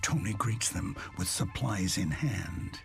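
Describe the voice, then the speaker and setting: A man narrating a scripted sci-fi audio drama in a grave, measured, solemn manner. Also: low voice, vocal fry, loud music behind the voice, heavily acted.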